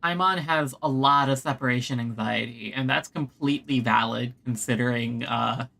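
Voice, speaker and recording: A woman's voice: a bass voice, moderate pace, gravelly, fairly bright tone. A woman streaming a game with casual, playful muttered reactions over game music.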